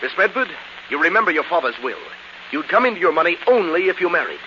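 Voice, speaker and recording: An actor playing an earnest, insistent young secretary in a 1940s radio drama, urgent and emphatic. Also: male voice, on the high side, big pitch swings, quick pace, pushed and bright. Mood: urgent accusing. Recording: muffled, hissy 1940s radio recording.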